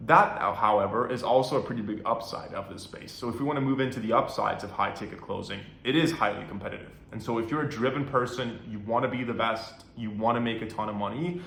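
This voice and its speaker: A young man from Norway giving relaxed career advice to camera from his apartment, talking casually with lots of "right" and "you know". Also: lowish voice, quick pace, vocal fry, few pauses, reverberant. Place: apartment room.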